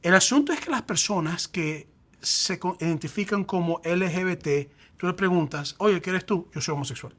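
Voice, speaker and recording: A man, a pastor, explaining faith and identity in a lively conversational way, acting out quoted examples. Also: high-pitched, normal speed.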